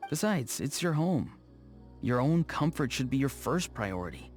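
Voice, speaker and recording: A man voicing an awkward, earnest young video game character, speaking in a low-key, hesitant way. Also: sing-song, measured pace. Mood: relaxed, reassuring. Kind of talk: scripted video game dialogue. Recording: music behind the voice. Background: faint background music.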